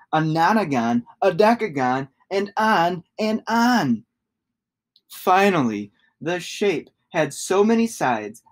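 A man, giving an expressive read-aloud of a children's story. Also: fairly high pitch. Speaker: storybook reader.

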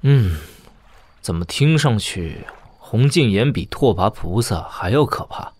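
An actor playing a cheeky, curious young lord in a wuxia audio drama. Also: male voice, fairly low pitch, expressive, measured pace, darkish tone, no echo. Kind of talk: Chinese wuxia radio drama acting.

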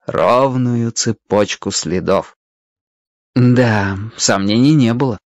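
A man narrating a dramatized story as an expressive storyteller. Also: medium pitch, takes it slowly, no echo, dull audio.

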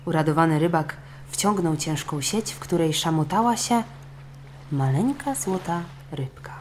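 Woman, low pitch, children's audiobook narrator, expressive, scripted storytelling, faint background noise. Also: measured pace.

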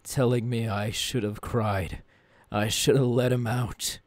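A man playing a tormented, menacing young ghoul king in an ASMR audio roleplay, in a breathy, often whispering voice with brooding phrasing. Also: medium pitch, steady tone, takes it slowly, dry recording, close to an everyday voice. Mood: anguished. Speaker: voice actor.

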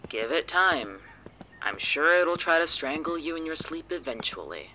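A man's voice: on the high side, lively intonation, moderate pace, lo-fi sound, a little hiss or hum, lightly acted. A man playing a blunt, sarcastic, irritable friend in an audio drama. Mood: irritated, sarcastic. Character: exasperated, sarcastic but helpful male friend. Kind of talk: naturalistic scripted audio drama dialogue.

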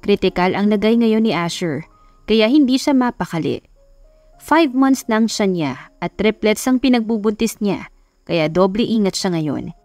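A woman's voice: medium pitch, animated, moderate pace, darkish tone, no room sound, soft background music. A woman narrating a scripted romance story as an audiobook narrator.

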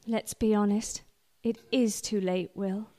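An actress playing a bitter, blunt, disillusioned wife in a radio play, cool and cutting. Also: female voice, mid-range voice, steady tone, a little slow, dark tone, natural voice. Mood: bitter, cold.

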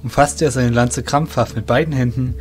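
A man's voice: medium pitch, steady tone, normal speed, darkish tone. A man narrating a fantasy audio drama from a script as the storyteller. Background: faint music.

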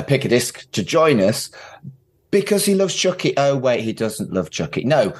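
Lively, swooping male podcast host. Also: medium pitch. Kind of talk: casual podcast chat.

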